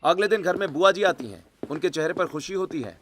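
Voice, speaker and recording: A man's voice: high-pitched, fairly quick, fairly bright tone. A man narrating a scripted cartoon family story.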